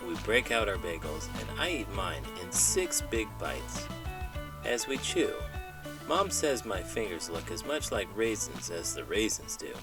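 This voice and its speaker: A man reading a children's storybook aloud with animated, dramatic emphasis, in the manner of an elementary school teacher. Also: fairly low pitch, measured pace, over music. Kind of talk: expressive storybook read-aloud with puppet banter.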